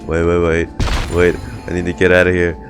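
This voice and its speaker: Very deep-voiced sweary male streamer. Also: fairly quick, over music. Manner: sweary, sardonic, excitable. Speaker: streamer reacting to a game. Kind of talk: casual live reaction commentary.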